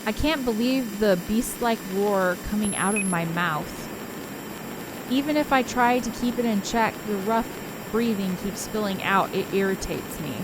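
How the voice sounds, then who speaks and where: A woman's voice: mid-range voice, unhurried, background noise, natural voice. A woman reading the part of a brooding, traumatized ghost girl, narrating a visual novel in an introspective, melancholy way. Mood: brooding, irritated. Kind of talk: dramatic visual novel read-aloud.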